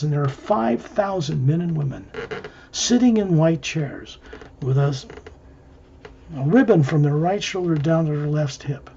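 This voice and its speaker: A man leading an improvised guided visualization, narrating in measured, halting short phrases. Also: fairly high pitch, creaky, mellow, lo-fi sound, slight background noise. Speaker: guided meditation leader.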